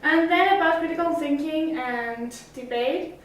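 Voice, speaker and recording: High-voiced teenage girl, echoey room. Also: unhurried. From Greece. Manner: hesitant, many fillers and restarts. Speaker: summer school student. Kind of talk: casual interview answers. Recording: roomy echo, mic at a distance.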